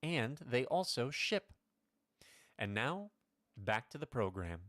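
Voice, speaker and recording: A man's voice: mid-range voice, big pitch swings, slow, a bit bright, dry recording, clean studio sound. A man reading a scripted sponsor announcement for a radio show in a polished, promotional manner.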